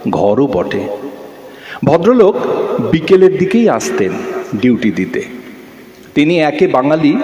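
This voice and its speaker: A man playing a reflective writer, narrating memories in an audio drama in a measured, wistful way with pauses. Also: fairly high pitch, a little mellow. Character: reflective male writer recalling his island days. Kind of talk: dramatic literary story narration.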